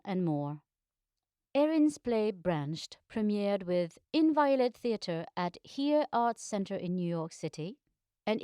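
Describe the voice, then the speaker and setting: Female interviewer: soft-toned mellow voice, medium pitch, scripted delivery, very dry recording. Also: dry recording. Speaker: interviewer, theatre artistic director. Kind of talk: scripted introduction and interview questions.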